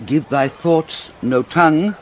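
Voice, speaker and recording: A man's voice: a little high, takes it slowly, slight background noise. An actor with a British accent playing a pompous, fussy older father and counsellor, lecturing in classical Shakespearean style. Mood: bustling, urging. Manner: declamatory, sententious, lecturing.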